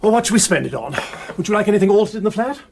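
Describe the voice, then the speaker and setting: An actor playing a flippant, witty aristocratic amateur sleuth with an upper-class English accent and a bantering, drawling manner, in a radio drama. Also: male voice, high-pitched, animated, racing, creaky, soft background music. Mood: teasing, playful. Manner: breezy, bantering, drawling exclamations. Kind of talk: BBC radio drama acting.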